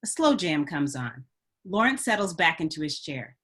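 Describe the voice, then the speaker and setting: A woman's voice: deep voice, sing-song, moderate pace, fairly bright tone, slightly rough. A woman reading stage directions as scripted narration for a screenplay table read.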